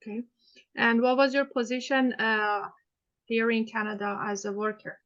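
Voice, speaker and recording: A woman questions a client in a mock hearing, pausing often and restarting her sentences. Her voice sits fairly high. The recording is dull and muffled. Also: level tone, fairly bright tone. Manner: hesitant, many fillers and restarts. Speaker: student playing counsel in a mock hearing.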